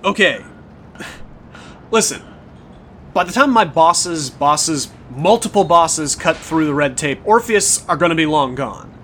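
A man's voice: a little high, expressive, a little slow, fairly bright tone, a little hiss or hum. A man playing a sarcastic police officer who teases the people around him. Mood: firm. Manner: dry, sarcastic, teasing. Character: wry male cop escorting the journalists. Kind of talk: audio drama acting.

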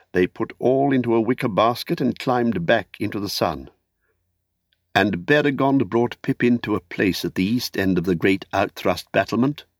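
Low-voiced male storybook narrator, dry sound. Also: dry recording. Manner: measured, storytelling delivery. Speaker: audiobook narrator. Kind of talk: scripted literary narration.

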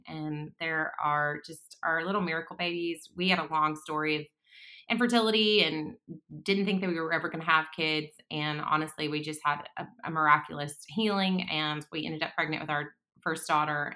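Warm-toned chatty woman in her late thirties. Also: deep voice. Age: 30s. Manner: chatty, laughs often, many fillers and restarts. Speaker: guest, songwriter and worship leader. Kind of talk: casual interview chat.

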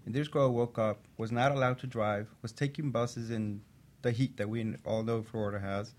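A man who works as a physician assistant, a guest on a talk show, telling a personal story in an earnest, steady way. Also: mid-range voice, moderate pace.

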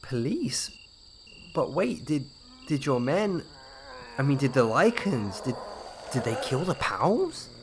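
A man's voice: medium pitch, big pitch swings, slow, pauses often. An actor playing a bewildered, hesitant everyman initiate in a comic horror audio drama, stammering nervously. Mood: alarmed. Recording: night insect ambience, clear noise.

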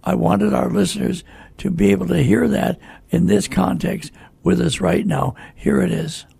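An older man hosting a radio talk show, speaking conversationally in a folksy, halting way straight to his listeners. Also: sits high, vocal fry, mellow, slightly rough.

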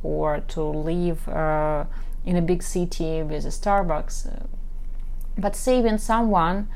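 A woman from Ukraine speaks English with a Ukrainian accent, her voice carrying a gravelly, creaky texture. She hosts a dating advice podcast and coaches her listeners directly and earnestly, at an everyday pitch. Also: darkish tone.

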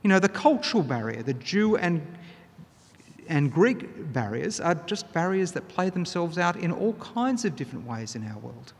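A man preaches in an earnest, emphatic way. His voice sits a little high for a man and has a husky edge. A long reverb trails his words through the hall. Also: big pitch swings, moderate pace. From Australia. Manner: earnest, emphatic, builds to louder stresses. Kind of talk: sermon.

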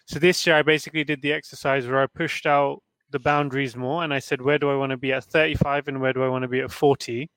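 A man in his thirties speaks in a measured, orderly way, pausing on frequent "um"s. His voice is mid-pitched and sounds dry and close. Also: flat delivery, fairly bright tone, no echo, low-quality recording. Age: 30s. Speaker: panel guest. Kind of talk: casual discussion.

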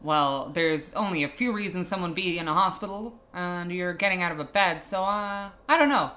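A woman's voice: fairly low pitch, brisk, fairly bright tone, natural voice. A woman playing a brusque, impatient hospital doctor in an improvised film noir audio play, curt and matter-of-fact.

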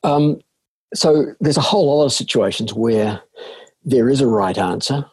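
New zealand man, middle-aged, very husky voice, dry sound, measured answers. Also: medium pitch, a little mellow, no room sound. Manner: measured, with frequent fillers and restarts. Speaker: guest, leadership consultancy chair. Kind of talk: thoughtful interview answers. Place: home in a village. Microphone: video call.

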